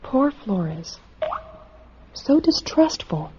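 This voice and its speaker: A woman's voice: on the high side, big pitch swings, extremely slow, heavily acted. An actress playing an anxious, dutiful woman doctor in a radio drama, with an introspective, questioning and hesitant manner. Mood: pensive, self-doubting. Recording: muffled lo-fi audio with hiss.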